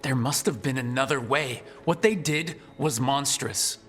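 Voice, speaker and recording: A man's voice: mid-range voice, measured pace, fairly bright tone, natural voice. An actor playing a direct, wry young male hero in a video game, in a conversational manner. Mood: curious, concerned. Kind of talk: naturalistic video game acting. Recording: music behind the voice.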